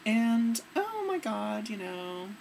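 A young woman with a fairly deep voice giving earnest, relaxed advice to her webcam in a dim room. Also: slow, vocal fry, a little hiss or hum. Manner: earnest, relaxed, demonstrating as she talks. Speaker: vlogger. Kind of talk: casual advice vlog.

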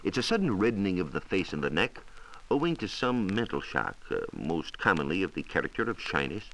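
Deep-voiced American man, vintage radio science talk. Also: big pitch swings, a bit bright, a little hiss or hum, rough recording. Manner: measured, explanatory, formal wording. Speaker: science reporter on a radio show. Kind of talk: 1940s radio science talk, part scripted answers. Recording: 1940s radio transcription disc.

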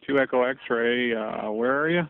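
A man's voice: mid-range voice, unhurried, phone-quality sound. A man as an air traffic controller, making slightly hesitant procedural queries over the radio.

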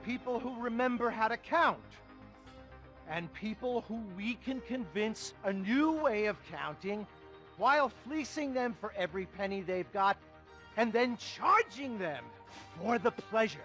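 Pompous, cynical finance tycoon for a satirical game film, high-pitched, music underneath. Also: male voice, lively intonation, unhurried, sharp tone, exaggerated voice. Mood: grandiose, scornful. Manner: pompous, contemptuous, theatrical. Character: rich, ruthless, cynical male finance tycoon mentor. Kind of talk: satirical video game movie acting.

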